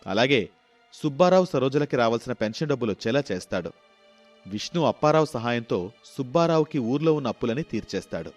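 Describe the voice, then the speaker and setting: A man's voice: medium pitch, animated, fairly quick, faint music behind. A man narrating a scripted moral story as a storyteller.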